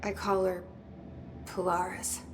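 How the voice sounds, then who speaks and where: A woman's voice: fairly low pitch, level tone, takes it slowly, background noise. A woman playing a guarded, searching young heroine in a video game, restrained and confiding. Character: guarded, searching young woman hunting her lost brother. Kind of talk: video game cutscene acting.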